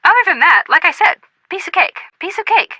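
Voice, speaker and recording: Perky young gal pal from a video game, super lively swoopy delivery, crisp dry sound, chatty and upbeat. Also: female voice, very high voice, a little fast, no echo, exaggerated voice. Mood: bubbly, helpful. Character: bubbly, eager young female sidekick friend, sweet-toothed.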